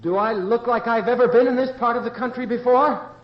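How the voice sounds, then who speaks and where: A man's voice: very high voice, a little fast. A man playing an ashamed, ragged wanderer come home, contrite and tense, in old-time radio drama style. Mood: nervous, defensive. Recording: muffled lo-fi sound, faint noise.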